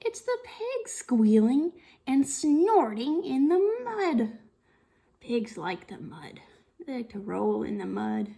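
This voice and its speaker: Female children's storytime reader, high voice with big swoops, sing-song and interactive, speaking to little ones. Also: very animated, creaky, dark tone. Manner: sing-song, slow and deliberate, with exaggerated expression and frequent questions. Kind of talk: interactive read-aloud of a picture book for young children.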